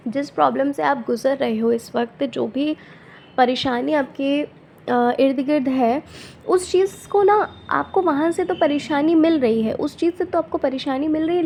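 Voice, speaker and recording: A woman tarot reader with a sore throat, speaking softly and gently, often checking in with her viewers. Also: a little hiss or hum. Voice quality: soft and low-volume.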